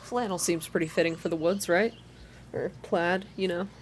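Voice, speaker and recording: A young adult reading a visual novel aloud in a soft ASMR style, close to the microphone, with informal asides between passages. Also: mid-range voice, moderate pace. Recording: clear background noise.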